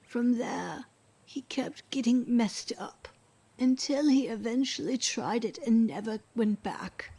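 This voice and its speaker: A worn-out bitter ex-wife in a radio drama, highish soft breathy voice, slow and blunt. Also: female voice, heavily acted. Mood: weary, bitter. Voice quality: breathy, hushed. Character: weary, embittered, secluded older ex-wife. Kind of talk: scripted radio drama dialogue.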